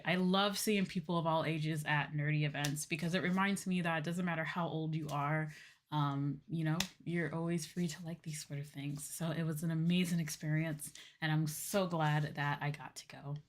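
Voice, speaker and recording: A woman's voice: sits low, fairly even intonation, moderate pace, fairly bright tone, a little hiss or hum. A woman livestream host chatting casually to her viewers in an informal, gushing way. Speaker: game livestream host, VTuber.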